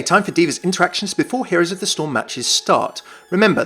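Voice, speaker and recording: A man's voice: high-pitched, fairly bright tone. A man narrating a scripted video game explainer as a YouTube host, enthusiastic and explanatory.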